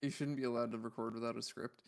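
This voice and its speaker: A man's voice: mid-range voice, fairly even intonation, fast talker, vocal fry. A man hosting a livestream, chatting casually and rambling as he thinks aloud in a puzzled, musing way while browsing. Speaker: livestream host.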